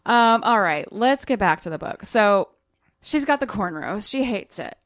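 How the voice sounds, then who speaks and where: A woman's voice: medium pitch, lively intonation, measured pace, a bit bright, dry recording, studio quality. A woman co-hosting a book podcast, talking casually and informally with plenty of friendly banter. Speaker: co-host of a book discussion podcast. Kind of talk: casual, conversational book recap.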